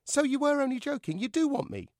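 A man's voice: very high voice, very animated, fast, a little mellow, no room sound, studio quality, pitched above the performer's own voice, full character voice. A man voicing a boyish ventriloquist's dummy for an audiobook, an eager, indignant, clingy chatterbox who pleads with cheek. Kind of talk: audiobook character voice.

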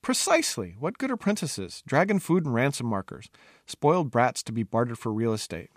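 A man's voice: mid-range voice, sing-song, brisk, dry recording. A man voicing a cocky, bawdy court jester in a storybook, with mocking, melodramatic, mock-archaic delivery. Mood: scornful. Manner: theatrical, mock-archaic wordplay.

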